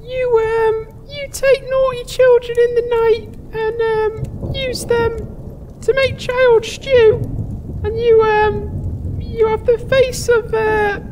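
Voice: very high-pitched, flat delivery, takes it slowly, dull audio, slight background noise. An actor playing a naive, frightened servant boy who speaks politely and hesitantly in a comedic fantasy audio drama. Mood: timid, confused. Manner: hesitant, stammering.

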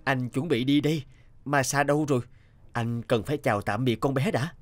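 An actor playing a hesitant, guilt-ridden married father in a cartoon drama, speaking haltingly. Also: mid-range voice, natural voice. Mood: casual, careful. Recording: background music under the voice.

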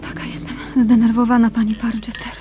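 An actress in a radio drama playing a nervous, gossipy woman with a hesitant, breathy, confiding manner. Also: on the high side, little pitch movement, a little fast, music in the background, lightly acted. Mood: shaken.

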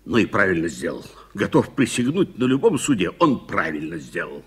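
Husky, blustering businessman. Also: on the low side, very animated, normal speed, full character voice. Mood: defiant. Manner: emphatic, volatile. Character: blustering, hot-tempered male businessman. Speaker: actor in a radio play. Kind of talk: 1980s Soviet radio drama acting.

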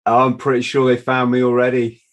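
A man's voice: medium pitch, completely flat delivery, a little fast. A middle-aged man hosting a podcast over a video call from a home office, chatty and self-deprecating, laughing easily. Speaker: podcast host. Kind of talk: casual interview chat. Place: home office with a wall map. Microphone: video call webcam.

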